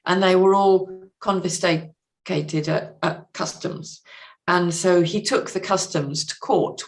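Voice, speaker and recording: A woman speaking as a literary interview guest, explaining things in an articulate, considered way and pausing to find the right words. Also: fairly low pitch, gravelly. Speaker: podcast guest, literary translator.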